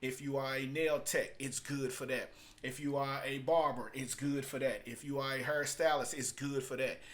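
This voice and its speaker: A man's voice: mid-range voice, fairly even intonation, normal speed, a bit bright. A man talking casually and off the cuff as he reviews and explains, in an emphatic, repetitive, colloquial way. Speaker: vlogger reviewing a business book.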